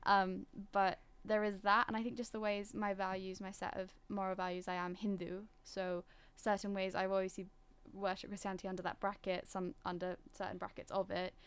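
Young British-accented woman, very even intonation. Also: mid-range voice, fairly quick, few pauses, smooth. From Singapore. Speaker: discussion participant. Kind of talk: reflective casual conversation.

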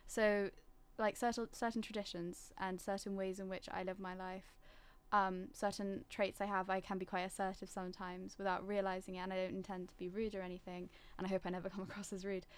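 A woman's voice: medium pitch, level tone, a little fast, few pauses, smooth. A young woman from Singapore with a British accent, talking reflectively and articulately in a casual conversation, often qualifying what she says. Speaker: discussion participant.

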